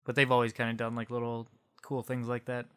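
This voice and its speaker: A man's voice: medium pitch, completely flat delivery, brisk, fairly bright tone, clean tone. A man co-hosting a podcast in casual, conversational chat. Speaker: podcast co-host.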